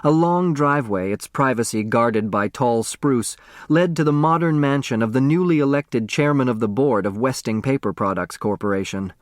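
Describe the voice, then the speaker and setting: A man's voice: medium pitch, moderate pace, no room sound, studio quality. A man narrating a story from a script, in the dramatic style of an audiobook.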